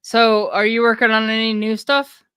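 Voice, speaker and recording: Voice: medium pitch, flat delivery, moderate pace, pushed and bright, clean tone, no echo, studio quality. A podcast host who reacts with brief, enthusiastic remarks during a casual interview.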